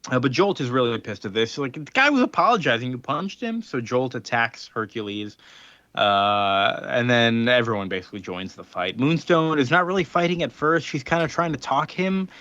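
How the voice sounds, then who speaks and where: Male podcast host for informal recap chat, mid-pitched, recorded dry and close, loosely paraphrasing dialogue. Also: expressive, normal speed, bright, forceful tone, no room sound, lo-fi sound. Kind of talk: casual comic book recap chat.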